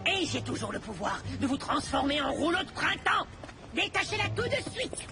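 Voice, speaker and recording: Pompous cartoon villain, very high voice, swooping intonation, sarcastic, manipulative, loud music behind. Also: male voice, sing-song, normal speed, creaky, full character voice. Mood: irritated, commanding. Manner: pompous, mock-sweet, sarcastic. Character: theatrical scheming villain master, a self-pitying manipulative father. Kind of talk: animated series dub acting.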